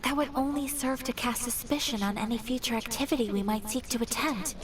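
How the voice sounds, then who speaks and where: An actress playing a courteous, grave young noblewoman in a fantasy video game, speaking formally with measured, precise diction. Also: a little high, recorded from a distance, a crowd in the background, lightly acted. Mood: resolute, polite. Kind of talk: scripted fantasy game voice acting.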